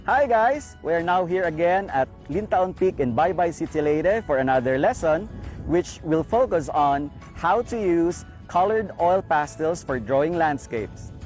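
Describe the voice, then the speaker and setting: Filipino guy teaching art step by step, really slow, music under him. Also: on the high side, lively intonation, a little mellow. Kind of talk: instructional demonstration.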